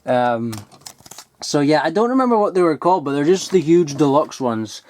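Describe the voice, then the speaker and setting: A man, chatting casually and excitedly while digging through old toys, rambling and swearing now and then. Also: fairly high pitch, normal speed. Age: 20s. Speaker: toy collector vlogger. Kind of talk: casual unboxing chat.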